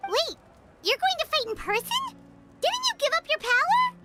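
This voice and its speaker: A woman voicing a tiny, excitable floating fairy companion with a squeaky, childlike manner in an anime-style game. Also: moderate pace. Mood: alarmed. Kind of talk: scripted anime-style video game acting. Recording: loud music under the voice.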